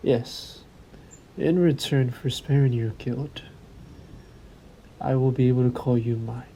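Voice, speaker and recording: A man performs a suave male vampire in an intimate audio roleplay, with faint stormy noise behind him. His voice is mellow and dark with a creaky edge. He speaks in a theatrical, silky way, menacing and possessive as he toys with his prey. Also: mid-range voice, steady tone, low-quality recording. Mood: seductive, possessive. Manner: taunting, silky, theatrical, deliberate phrasing. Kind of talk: slow intimate audio roleplay monologue to the listener.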